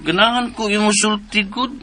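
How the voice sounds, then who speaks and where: A Filipino man in a radio drama voicing a simple-minded, childlike man, petulant and innocent, in halting babyish speech. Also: high voice, steady tone, bright, forceful tone. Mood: childish, eager. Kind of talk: Philippine radio drama acting.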